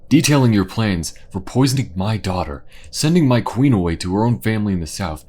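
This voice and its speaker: A man's voice: on the low side, animated, a little fast, mellow, a little hiss or hum, close to an everyday voice. An actor playing a stern, vengeful king and father in a fantasy audio drama, commanding and brooding. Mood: stern, accusing.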